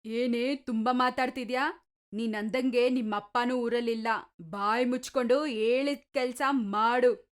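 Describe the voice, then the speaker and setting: A harsh, commanding stepmother from a cartoon story, voiced by a woman. The voice drops low and pushes loud, barking orders and taunts. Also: fairly even intonation, a little slow, close to an everyday voice. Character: cruel scolding stepmother, middle-aged woman.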